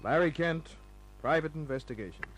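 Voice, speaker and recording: An actor playing a hard-boiled private detective, laconic, breezy and sardonic, in the manner of old-time radio drama. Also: male voice, on the high side, animated, fairly quick, music in the background, close to an everyday voice. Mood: dry narrating. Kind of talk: old-time radio acting and first-person narration. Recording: noisy humming old radio recording.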